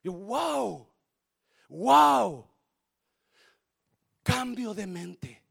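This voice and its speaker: A male pastor with a Spanish accent preaches with a high, lively voice that climbs and falls all over. He mixes Spanish and English mid-sentence and moves between hushed lines and full shouts. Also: drawn-out words, creaky, husky. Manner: swings from quiet to shouting. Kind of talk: bilingual sermon.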